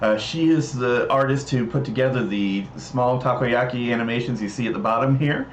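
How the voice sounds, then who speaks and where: A man hosting a livestream, explaining things casually in a halting, hesitant way. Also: medium pitch. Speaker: livestream host. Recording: roomy echo, dull mic, noisy background.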